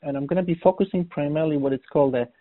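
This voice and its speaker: A man's voice: on the high side, racing, no room sound. A man from Poland with a Polish accent gives an informal medical webinar talk as an oncologist, often rephrasing as he goes. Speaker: oncologist presenting. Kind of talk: webinar presentation. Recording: thin phone-like webinar audio.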